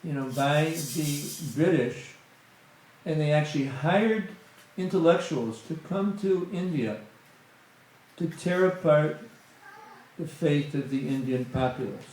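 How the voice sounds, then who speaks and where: A man's voice: a little high, takes it slowly, darkish tone. Man preaching in an echoey hall. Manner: conversational, many fillers like "you know". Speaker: guest speaker at a temple satsang. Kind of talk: spiritual talk to a congregation. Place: temple hall.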